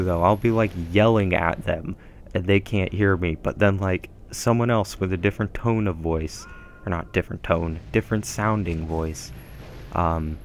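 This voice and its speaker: Young male let's-player, deep, chatty and meandering, headset mic at home. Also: slight background noise. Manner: rambling, filler-heavy, self-deprecating. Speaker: gameplay commentator. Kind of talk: casual gameplay commentary. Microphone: gaming headset mic.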